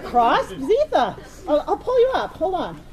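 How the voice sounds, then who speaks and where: A woman playing an eager young female inventor in an audio drama, excitable and stammering as she talks. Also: sits high, a little slow, a faint crowd, slight background noise, exaggerated voice. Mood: startled.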